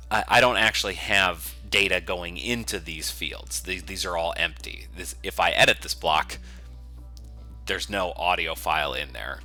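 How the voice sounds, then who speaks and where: A man with a low-pitched, strikingly bright and forward voice leads a software tutorial. He explains each step conversationally while he works, in an informal screencast style. Faint music can be heard with the voice. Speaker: software developer giving a coding tutorial.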